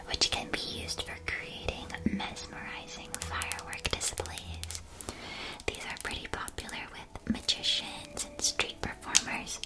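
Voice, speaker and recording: A woman playing a friendly, sweet magical candy shop keeper in a whispered ASMR roleplay, with a soft, unhurried and gently enthusiastic manner. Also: lightly acted. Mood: playful, fond.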